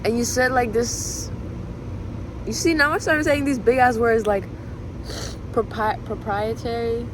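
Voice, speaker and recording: A young woman whose first language is Spanish, thinking out loud in a rambling, reflective monologue full of fillers, recorded outdoors. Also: a little high, fairly even intonation, measured pace, pauses often. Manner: musing, filler-heavy, drifting between thoughts. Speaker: vlogger. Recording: street and traffic noise behind.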